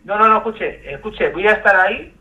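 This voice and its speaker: A man's voice: high voice, a little fast, sharp tone. A man from Spain on a telephone call, arguing in a defiant, insistent and repetitive way. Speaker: amateur runner receiving a prank call. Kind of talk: heated phone argument. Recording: dull lo-fi phone call.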